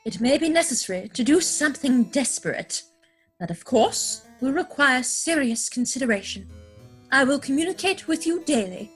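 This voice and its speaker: A woman plays a grand young society lady from a Victorian comedy. Her voice is high, with precise, theatrical declamation. She is self-assured and romantic, with faint music behind her. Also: lively intonation, moderate pace, a bit bright, husky, full character voice. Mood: brisk. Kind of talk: stage comedy dramatic reading. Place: home, recorded over video call.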